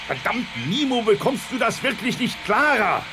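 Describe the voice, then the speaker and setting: An actor playing a hot-tempered, impatient army general in a sci-fi audio drama. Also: male voice, extremely high pitch, lively intonation, normal speed, over music. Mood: angry, impatient. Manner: impatient, commanding.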